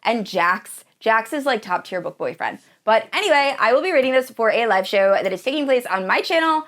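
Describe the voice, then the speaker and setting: A woman's voice: on the high side, expressive, a little fast, fairly bright tone. A woman in her thirties chatting casually and enthusiastically to viewers as a book vlogger, with a gushing manner. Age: 30s. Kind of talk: casual chat to viewers about books.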